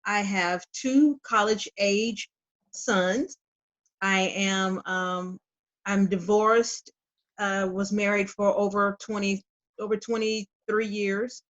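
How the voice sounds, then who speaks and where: Measured woman, mid pitch, muffled video call sound. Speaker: child welfare planner, panelist. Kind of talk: online forum introduction.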